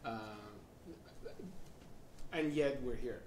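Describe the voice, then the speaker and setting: A man moderating a panel conversation, relaxed and wry, with hesitant fillers. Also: medium pitch, extremely slow. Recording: roomy sound from a distant mic with faint noise.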